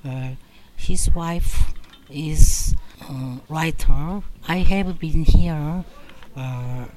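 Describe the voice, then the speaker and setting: A woman's voice: sits low, dark tone, slight background noise. A woman from Korea, introducing herself hesitantly in English class. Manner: hesitant, pausing.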